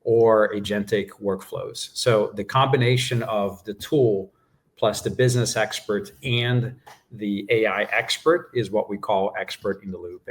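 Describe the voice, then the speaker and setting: A man whose first language is Portuguese, calmly explaining his work as a guest in a business interview, with frequent fillers. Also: fairly low pitch. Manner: measured explanations with frequent "uh" and "right?". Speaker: guest executive.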